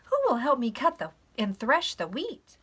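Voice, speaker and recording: A woman's voice: on the high side, very animated, normal speed, a bit bright, slightly rough, voice pushed up, full character voice. A woman voicing an eager little red hen from a children's storybook, squawky and clucking. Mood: eager, calling out. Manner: exclaiming, sighing.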